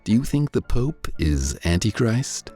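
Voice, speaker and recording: A man voicing a stern, scornful middle-aged preacher who talks slowly and demandingly. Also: fairly low pitch, big pitch swings, warm tone, slightly rough, faint music behind, voice pushed up, exaggerated voice. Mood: suspicious.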